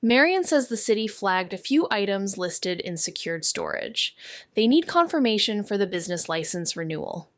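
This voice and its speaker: A woman's voice: mid-range voice, big pitch swings, moderate pace, dry recording. Young woman sleuth in an audio drama, wry n guarded but sincere, understated, very dry sound. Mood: dry, businesslike. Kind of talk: naturalistic audio drama dialogue.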